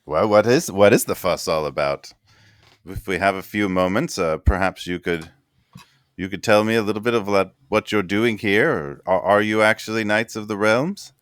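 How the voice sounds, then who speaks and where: A man's voice: fairly low pitch, moderate pace, fairly bright tone, smooth, dry recording, close to an everyday voice. Man doing a courteous stiff minister. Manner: courteous, formal, hesitant. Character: polite stiff male minister, middle-aged.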